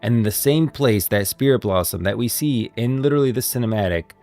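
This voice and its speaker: A man's voice: medium pitch, brisk, a little mellow, soft background music. A man hosting a stream, giving emphatic, explanatory commentary on video game lore, part read and part off the cuff.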